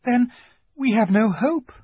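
Man voicing an anxious, high-pitched young girl. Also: animated, slow, darkish tone, husky, dry recording, muffled recording, exaggerated voice. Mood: worried. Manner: worried, pressing, exclaiming.

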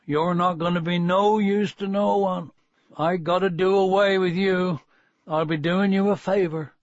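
A man voicing a gruff but soft-hearted old farmer in an audiobook, with blunt, solemn rustic speech. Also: sits high, steady tone, a little slow, dry recording, lo-fi sound, voice pushed up. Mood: grimly apologetic.

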